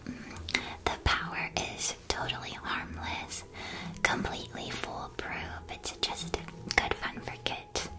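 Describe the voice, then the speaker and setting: A woman playing a friendly witch shopkeeper in an ASMR roleplay, speaking in a gentle, welcoming, unhurried way. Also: mid-range voice, close to an everyday voice. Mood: gentle, chatty. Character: friendly witch shopkeeper of a magical sweets shop. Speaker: ASMR performer.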